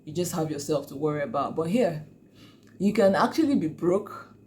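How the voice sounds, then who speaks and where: A woman's voice: sits low, lively intonation, normal speed, a little mellow, slight background noise. A Nigerian woman talking casually to her viewers, chatty and laughing often, with many fillers. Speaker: vlogger. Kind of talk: casual talk to camera.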